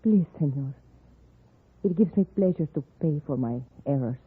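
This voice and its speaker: A woman's voice: sits low, animated, husky, exaggerated voice. A woman playing a proud, composed widow, speaking formally in an old radio western. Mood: solemn, grateful. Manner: measured, formal, restrained. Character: composed, proud Mexican widow, quietly bitter. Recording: muffled narrow-band old radio.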